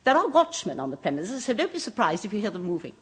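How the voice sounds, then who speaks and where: A crisp museum keeper. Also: female voice, mid-range voice, big pitch swings. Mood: cool. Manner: brisk, precise, faintly macabre relish. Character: composed woman manager of a waxworks museum. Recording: muffled old radio broadcast.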